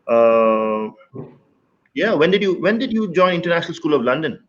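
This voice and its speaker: A man's voice: a little high, big pitch swings, moderate pace, highs cut off. A man hosting an online interview, asking questions with lots of fillers. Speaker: interview host.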